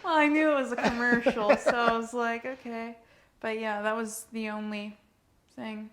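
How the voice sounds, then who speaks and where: A woman's voice: a little high, level tone, normal speed. A woman in her twenties, a model answering interview questions in a casual, giggly way, full of "like" and "um.". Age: 20s. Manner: giggly, uses "like" and "um" a lot. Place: indoors at a rented home.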